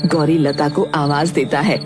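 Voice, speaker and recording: A woman narrates an animated story from a script. Her voice sits fairly low, and music plays clearly behind her. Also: a little slow.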